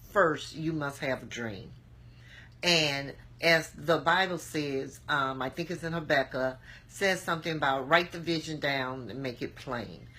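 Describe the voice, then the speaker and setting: A woman, hoarse from a cold, giving an earnest, encouraging motivational talk to camera with plenty of filler "um"s. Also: low-pitched, a bit bright. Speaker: motivational vlogger.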